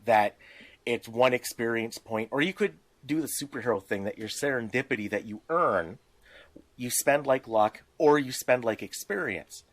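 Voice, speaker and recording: A man's voice: mid-range voice, moderate pace, no echo. A man with a mid-range voice explains game rules in an informal conversation. He reasons step by step through examples, and the sound is dry, with very little room in it. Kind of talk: casual discussion of tabletop game rules.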